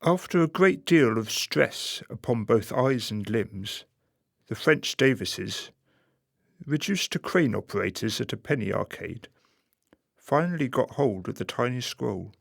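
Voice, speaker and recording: A gravelly, wry male narrator. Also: medium pitch, animated, unhurried, no echo, clean studio sound. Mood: dry irony. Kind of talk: scripted radio play narration.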